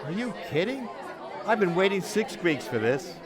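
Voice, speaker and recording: A man's voice: high voice, sing-song, normal speed. A man in amateur radio theater playing an eager, unsuspecting traveler in a conversational way. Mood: cheerful, dismissive. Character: eager, unsuspecting male traveler boarding a spaceship. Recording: loud crowd hubbub behind.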